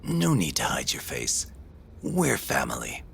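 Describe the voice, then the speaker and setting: An actor playing a bitter, furious jailed mob uncle in a crime audio drama, menacing and foul-mouthed. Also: male voice, deep voice, huge pitch swings, measured pace, creaky, a bit bright, a little hiss or hum, exaggerated voice. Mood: hostile contempt. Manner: menacing, profane, explosive.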